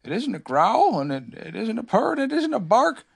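A storybook reader voicing a small, gentle toy bear who is sleepy, polite and a little puzzled, speaking hesitantly. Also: mid-range voice, sing-song, normal speed, creaky, slightly rough, pitched above the performer's own voice, exaggerated voice. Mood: puzzled musing. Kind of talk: children's storybook character reading.

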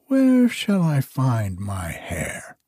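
A man's voice: mid-range voice, wildly swooping pitch, very slow, dark tone, breathy edge, dry recording, clean studio sound, exaggerated voice. A man voicing a brave, earnest young prince, speaking with urgency.

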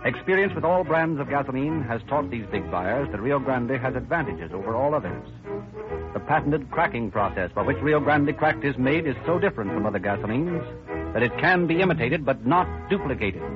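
A man's voice: medium pitch, lively intonation, fairly quick. A man announces and narrates in the dramatic style of 1930s radio. His mid-range voice is emphatic and scripted, heard over music on a muffled old recording.

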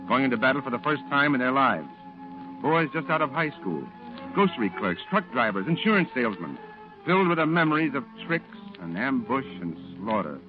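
An actor playing a grave, compassionate military chaplain who narrates a war diary in measured 1940s radio drama style. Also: male voice, medium pitch, lightly acted. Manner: solemn, measured delivery. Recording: tinny band-limited old radio, music behind.

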